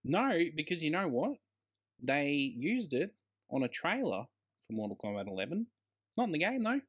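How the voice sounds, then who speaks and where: A man's voice: a little high, very animated, moderate pace, slightly rough. Enthusiastic Australian man chatting casually. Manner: enthusiastic, given to emphatic grand claims. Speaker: podcast co-host. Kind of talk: casual film discussion.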